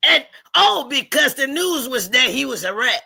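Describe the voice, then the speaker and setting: A woman telling stories in casual, slangy talk, with emphatic points and halting, repeated starts. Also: sits high, sing-song, creaky, pushed and bright, breathy edge. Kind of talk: casual chat, storytelling.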